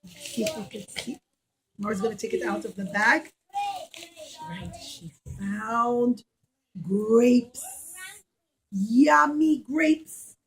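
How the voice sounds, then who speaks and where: A woman preschool teacher telling a story to young children in an animated, questioning way, repeating key words. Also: a little high, slow pace, vocal fry, long pauses, poor audio.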